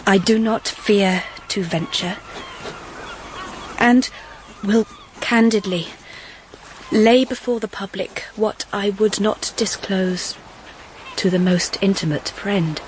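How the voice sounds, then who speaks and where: An actress playing an earnest, idealistic young Victorian governess in a radio drama, speaking in a measured, heartfelt, literary way. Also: female voice, medium pitch, a little hiss or hum, lightly acted, poor audio. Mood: resolute. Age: young adult. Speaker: actress and narrator of a period drama. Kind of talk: period radio drama acting and narration.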